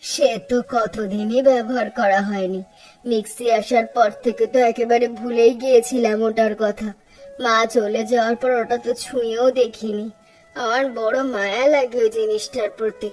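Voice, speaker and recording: Woman acting a high-voiced chatty village housewife. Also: steady tone, normal speed, darkish tone, faint music behind, close to an everyday voice, low-quality recording. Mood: wistful. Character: homely, chatty village housewife planning family cooking. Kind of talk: cartoon story dialogue.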